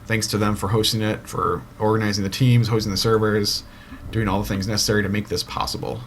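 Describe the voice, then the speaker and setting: A man giving live play-by-play commentary on an online shooter match, getting excited at kills and stumbling over player names. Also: on the low side, fast talker, a little hiss or hum. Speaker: esports shoutcaster. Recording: loud game music and gunfire behind.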